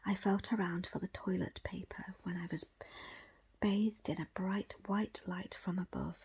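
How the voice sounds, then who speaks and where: A woman whispers her way through a book reading in ASMR style. Her voice is very breathy and almost entirely unvoiced, with a slightly low, crackly edge. The phrasing is hushed and measured, broken by small pauses. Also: fairly even intonation. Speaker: book reader presenting an audiobook extract.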